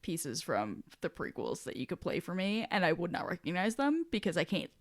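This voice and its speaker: A woman's voice: on the low side, big pitch swings, fairly quick, no echo, studio quality. A woman co-hosting a podcast in casual discussion, talking in a rambling, self-deprecating way. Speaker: podcast co-host.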